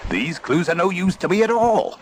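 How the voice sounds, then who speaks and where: A man voicing an excitable cartoon dog playing a peg-legged pirate, theatrical, grandiose and grumbling, in a storybook reading. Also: high voice, moderate pace, voice pushed up. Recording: faint noisy old tape transfer.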